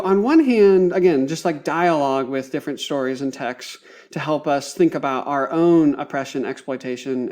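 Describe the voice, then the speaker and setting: A man with a slightly high voice talks as a guest on a podcast. He explains things thoughtfully, pausing to find his words and leaning on academic vocabulary. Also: a little mellow.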